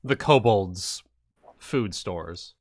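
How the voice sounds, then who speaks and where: A man's voice: on the low side, expressive, drawn-out words, a little mellow. A man playing a tabletop game in a laid-back, jokey way. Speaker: player. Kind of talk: casual tabletop play.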